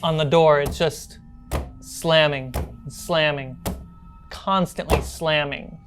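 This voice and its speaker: A woman's voice: low voice, fairly even intonation, slow pace, over music. A woman game master narrating and refereeing a tabletop role-playing game.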